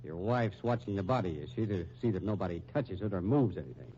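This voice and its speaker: Brusque, low-voiced police lieutenant. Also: male voice, expressive, quick pace, warm tone. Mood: brisk, incredulous. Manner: curt, dry, sarcastic. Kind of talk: 1950s radio drama acting. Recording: muffled, noisy old 1950s radio recording.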